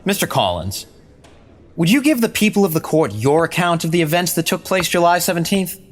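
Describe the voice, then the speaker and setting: Actor as a higher-voiced lawyer in echoing court. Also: male voice, animated, brisk, slight background noise, lightly acted. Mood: probing. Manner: formal, probing. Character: formal male courtroom lawyer questioning a witness. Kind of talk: scripted courtroom drama questioning.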